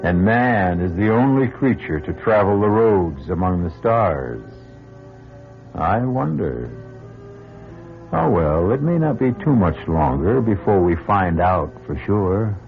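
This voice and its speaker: Deep, slow, theatrical radio host. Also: male voice, big pitch swings. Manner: musing, theatrical. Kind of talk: dramatic old-time radio hosting. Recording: muffled old radio broadcast. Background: music.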